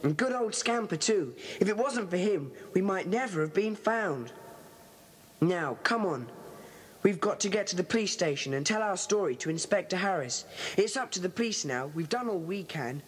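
An earnest British schoolboy who leads his club. The voice is husky and swings widely in pitch. He is decisive and emphatic, in the manner of a children's audio drama. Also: lively intonation, moderate pace. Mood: urgent relief.

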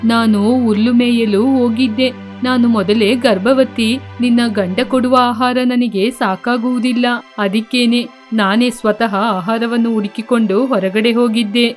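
Woman voicing a high-pitched, demanding pregnant cow. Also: steady tone, a little slow, faint music behind, close to an everyday voice. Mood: complaining. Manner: complaining, insistent. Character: hungry, demanding pregnant talking cow, female. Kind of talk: acted children's moral story dialogue.

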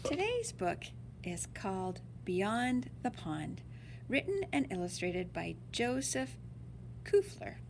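Slow, animated woman reading a storybook. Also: mid-range voice, vocal fry, a little hiss or hum. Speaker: teacher reading to children. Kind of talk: expressive storybook read-aloud.

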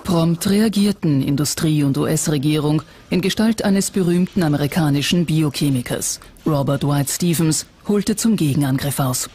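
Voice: medium pitch, moderate pace, hardly any pauses, dark tone, breathy edge. A narrator reading a scripted documentary in a composed, prepared delivery. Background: background music.

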